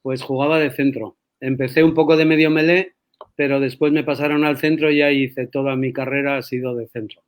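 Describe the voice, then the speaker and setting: An older man from Spain, a guest in a casual interview, telling stories in a relaxed way with fillers like "pues" and "bueno". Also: mid-range voice, brisk. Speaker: guest, sports federation president.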